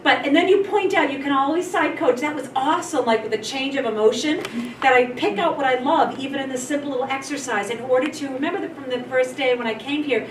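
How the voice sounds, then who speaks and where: A woman drama teacher leading a hands-on workshop, coaching and demonstrating in a theatrical, animated way. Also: high-pitched, fast. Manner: theatrical, animated, demonstrates lines in exaggerated delivery. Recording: echoey room, distant mic, noisy background.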